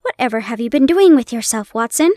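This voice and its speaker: A woman's voice: on the high side, lively intonation, a little fast, a little mellow, no echo, clean studio sound, natural voice. A woman voicing a chatty young man. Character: young male hospital dresser, friendly and chatty.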